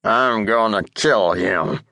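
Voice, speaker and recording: A man's voice: medium pitch, expressive, a little slow, a bit bright, dry recording. A man voicing a foul-mouthed, cantankerous old cowboy with a blustering drawl in an audiobook reading.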